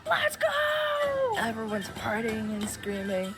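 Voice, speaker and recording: A young woman from Japan streaming and chatting casually, animated and swearing freely as she acts out what other people say. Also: fairly high pitch, takes it slowly, rough recording. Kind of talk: casual chat. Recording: music playing behind.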